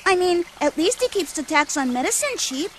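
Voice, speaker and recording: Very high-pitched eager young boy. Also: sing-song, moderate pace, fairly bright tone, a little hiss or hum, exaggerated voice. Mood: cheerful, earnest. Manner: earnest, inquisitive. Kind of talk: video game cutscene voice acting.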